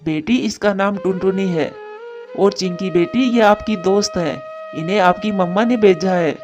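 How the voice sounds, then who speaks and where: A woman voicing a doting, protective father bird with a gentle, coaxing manner in a cartoon story. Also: medium pitch, normal speed, natural voice. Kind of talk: Hindi cartoon story acting. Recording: music behind the voice.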